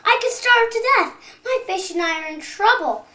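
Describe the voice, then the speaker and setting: A woman acts out a young boy in a storybook tale, dreamy and easily worried. The voice is very high and rings in an echoey room. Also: lively intonation, moderate pace, sharp tone, full character voice. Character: dreamy, anxious young boy longing for peace. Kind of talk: readers theater storytelling.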